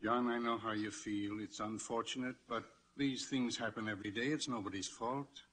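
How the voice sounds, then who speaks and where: A man's voice: on the low side, steady tone, moderate pace, fairly bright tone, lightly acted. A man acting a kindly, philosophical priest and mentor in a 1940s radio drama. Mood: consoling. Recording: noisy 1940s radio broadcast.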